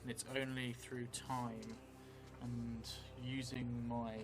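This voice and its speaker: A middle-aged man thinking aloud as he improvises a story for a solo role-playing game, halting and musing. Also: medium pitch, little pitch movement. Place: small indoor room at a table. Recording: music behind the voice.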